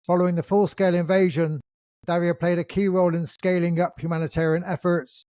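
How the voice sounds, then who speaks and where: Man, podcast host, slightly higher voice, dry close sound, thoughtful. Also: darkish tone, no echo. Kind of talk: interview hosting. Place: England.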